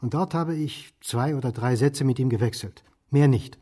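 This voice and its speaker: Husky, mellow, guarded middle-aged man acted. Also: mid-range voice, normal speed, natural voice. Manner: terse, flat denials, understated. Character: weary, guarded history lecturer under suspicion. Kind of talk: radio play acting, naturalistic.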